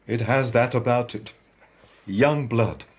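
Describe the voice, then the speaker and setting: An actor playing a genial, courtly gentleman in a period radio drama, a hearty mentor who speaks formally and declaims. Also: medium pitch, slow, slightly rough. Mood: courtly, measured.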